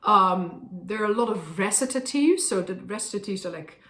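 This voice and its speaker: A woman from the Netherlands with a Dutch accent, a professional singer explaining her work in a thoughtful way with soft fillers, speaking at her harpsichord. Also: mid-range voice, lively intonation, moderate pace, echoey room. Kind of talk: casual explanation to camera. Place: room with a harpsichord, indoors.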